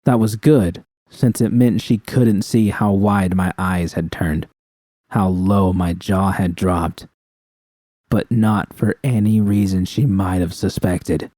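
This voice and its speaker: A man's voice: deep voice, steady tone, slow pace, mellow, no echo. A man reading a scripted first-person story as an audiobook narrator.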